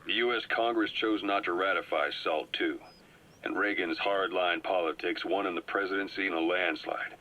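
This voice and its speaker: A composed agent from a video game, laying out the situation in even, measured explanations. His voice sits low but comes across bright and forceful, and the tape sound is a little dull. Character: calm, well-informed male agent briefing a comrade. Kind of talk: video game cutscene voice acting. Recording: muffled cassette tape audio.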